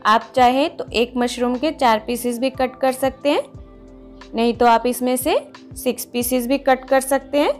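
A woman hosting a home cooking video, patiently explaining each step in a calm instructional voice-over. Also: sits high, measured pace, soft background music. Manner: patient, explains each step, often says "dekhiye, lijiye". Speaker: cooking channel host.

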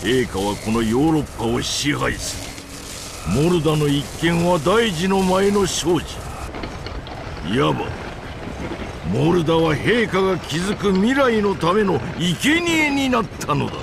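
An actor playing a haughty, fanatically loyal imperial general with a gravelly voice and grand, pompous phrasing in a Japanese video game. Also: male voice, a little high, lively intonation, a little slow, noisy background. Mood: indignant, fervent. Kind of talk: theatrical Japanese video game villain acting.

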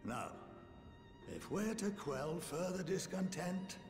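An actor playing an elderly academy head in a game, wry, formal and eloquent, impatient but fair-minded. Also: male voice, sits high, lively intonation, slow, full character voice. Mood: measured, persuasive. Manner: formal, eloquent, dryly exasperated. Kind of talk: video game cutscene acting. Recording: echoey hall reverb, music behind.

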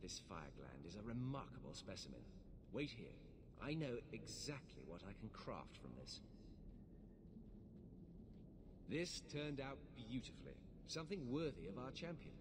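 A man's voice: medium pitch, big pitch swings, moderate pace. An actor playing a courteous, grateful craftsman and shopkeeper in a fantasy video game, speaking politely and formally. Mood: pleased, proud. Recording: game audio with background noise.

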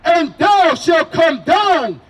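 A man declaims scripture in slow, drawn-out shouts on a city street. His voice is very high and swings widely in pitch. He sounds distant from the microphone. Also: big pitch swings. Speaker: scripture reader. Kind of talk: loud declaimed scripture reading.

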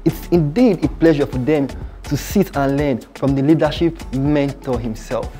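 A man co-hosting a TV talent-show recap, presenting in a steady, scripted style in a studio. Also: on the high side, moderate pace, soft background music. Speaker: TV show co-host.

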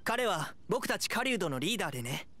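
A man's voice: high voice, very animated, fairly quick, sharp tone, slight background noise, full character voice. An actor playing an earnest young male hunter in an anime game, reproving and principled. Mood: stern advice.

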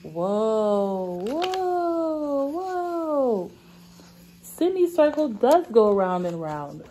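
A woman's voice: high voice, very animated, very slow, dark tone, slight background noise. A young woman speaking as a preschool teacher, reading aloud to small children in simple, repeated, stretched-out phrases. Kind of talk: children's read-aloud lesson. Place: indoor classroom.

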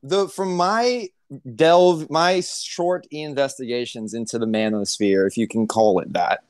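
A man's voice: mid-range voice, unhurried, a little mellow, clear, resonant tone, no room sound, studio quality. A man co-hosting a podcast in casual comedic chat, with a sarcastic, profane, rambling way of talking. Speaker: podcast co-host.

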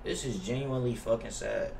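A man's voice: medium pitch, a little fast, vocal fry, a little mellow, far from the mic. A young man chatting casually on a live game stream, slangy and profane, often complaining. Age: young adult. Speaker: livestreamer playing a basketball video game. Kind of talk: casual live stream chat and gameplay reaction. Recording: roomy echo, off-mic, noisy with keyboard clicks.

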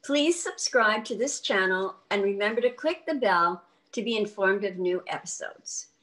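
A woman's voice: mid-range voice, expressive, moderate pace, a bit bright, poor audio. An older woman hosting an interview show from home over a video call, friendly with short encouraging prompts. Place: home room. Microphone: laptop webcam on video call.

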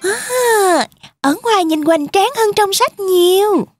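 A woman voicing an excited, wide-eyed little goat kid in a children's cartoon, childlike and full of wonder. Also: very high-pitched, slow, faint music behind, heavily acted. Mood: awed.

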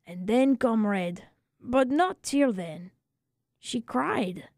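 A woman reading a story dramatically for radio, with a theatrical, deliberate delivery. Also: on the high side, lively intonation, a little mellow, dry recording, studio quality. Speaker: storyteller, audiobook reader. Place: radio studio.

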